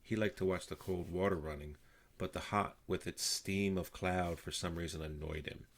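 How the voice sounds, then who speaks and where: An older man reading a children's story aloud in an animated storytelling style. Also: low voice, moderate pace. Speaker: storybook reader.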